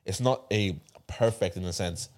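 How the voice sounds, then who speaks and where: A young Irish man hosting a podcast, chatting casually in a group, animated and laughing often. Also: sits low, normal speed. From Ireland. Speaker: podcast host.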